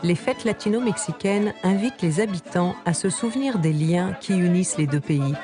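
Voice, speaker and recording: A man narrates a documentary in a calm, measured way, voice-over style. Soft music plays underneath. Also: high voice. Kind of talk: scripted narration.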